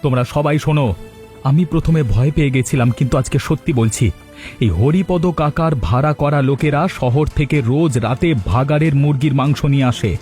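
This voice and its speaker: A man's voice: fairly high pitch, brisk, a little mellow, soft background music, lightly acted. An actor voicing a timid but honest young village man in a cartoon, speaking earnestly and apologetically. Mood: resolute. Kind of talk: cartoon voice acting.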